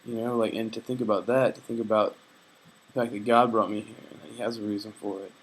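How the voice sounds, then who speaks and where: Hesitant young man, lowish voice. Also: level tone, fast, slightly rough, low-quality recording. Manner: hesitant, reflective, many fillers and restarts; earnest, faith-minded. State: homesick. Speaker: vlogger. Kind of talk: casual personal video diary.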